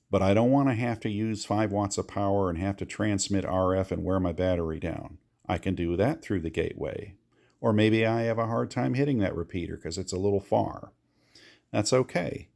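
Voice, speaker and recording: Low creaky-voiced American man. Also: darkish tone. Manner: explanatory, repeating reminders. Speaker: amateur radio hobbyist giving a demonstration. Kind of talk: casual how-to walkthrough.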